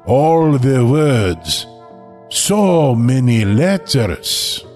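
A man playing a seductive vampire who lulls a victim with drawn-out, hypnotic, savoring speech in a comic horror sketch. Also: medium pitch, very animated, darkish tone, faint music behind. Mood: suave, hypnotic. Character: slow, seductive vampire Vlad hypnotizing his wine-shopping victim.

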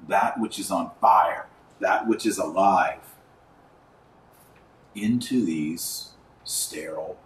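Low male voice, earnest religious podcast host, emphatic repetition, roomy echoing sound, picked up away from the microphone. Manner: earnest, emphatic, repeating phrases for rhetorical effect. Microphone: wrong microphone picking up from a distance. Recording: echoey room, distant wrong microphone, faint noise.

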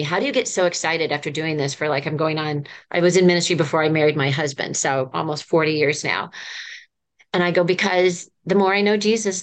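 A woman in her fifties, a children's ministry professor, telling stories in an earnest, enthusiastic way as a podcast guest. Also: fairly low pitch. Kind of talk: podcast conversation.

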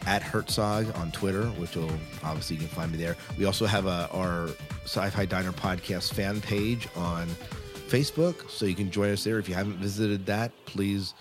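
A very low-pitched man hosts a casual podcast. He talks informally and wordily, explaining as he goes. Music plays clearly behind his voice. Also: normal speed. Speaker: podcast host. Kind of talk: casual chatty podcast hosting. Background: loud music bed.

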